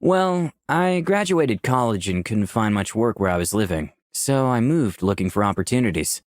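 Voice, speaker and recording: Man as low-voiced young visual novel protagonist. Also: expressive, normal speed, no echo, studio quality, close to an everyday voice. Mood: candid, friendly. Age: young adult. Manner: clear scripted storytelling. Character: candid, easygoing 24-year-old man, protagonist and narrator. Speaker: narrator and protagonist. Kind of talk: first-person visual novel narration and dialogue.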